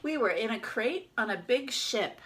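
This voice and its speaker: Woman reading as a bright, expressive little toy duck. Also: a little high, moderate pace, slight background noise. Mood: wistful storytelling. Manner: expressive, dramatic storybook narration. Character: small yellow plastic toy duck, timid, lonely storyteller. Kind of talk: children's picture book read-aloud.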